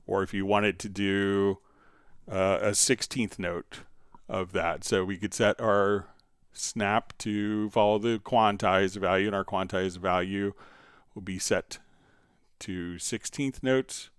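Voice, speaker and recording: Man, low clear voice, livestream tutorial host, casual and halting, thinking aloud, close dry mic. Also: fairly even intonation, dry recording. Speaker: livestream host, software instructor. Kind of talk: casual live software tutorial.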